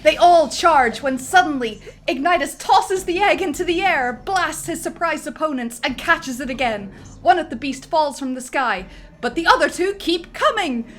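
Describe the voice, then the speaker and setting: A woman's voice: sits high, expressive, moderate pace, fairly bright tone. A woman narrating a film script theatrically, with a comedic dramatic flair. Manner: theatrical, breaks easily into giggles.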